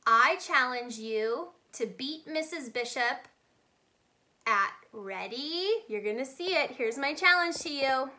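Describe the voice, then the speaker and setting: A woman storyteller reading a picture book aloud expressively and deliberately, addressing young listeners. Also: sits high, animated, sharp tone. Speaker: children's storyteller.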